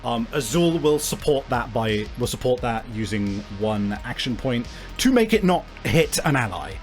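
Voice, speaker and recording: A man's voice: mid-range voice, big pitch swings, normal speed. A man improvising narration as a game master, descriptive and often searching for his words. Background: faint music.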